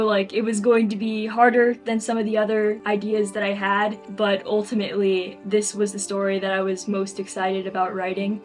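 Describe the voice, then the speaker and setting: A woman's voice: medium pitch, rough recording. A young adult woman speaking conversationally as an author being interviewed, telling the story of her own work.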